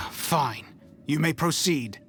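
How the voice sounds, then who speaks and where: An actor playing a wary, brusque guard for a villainous faction in an anime-style game. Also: male voice, mid-range voice, lively intonation, extremely slow, gravelly, a bit bright, exaggerated voice. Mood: wary, irritated. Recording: faint background music.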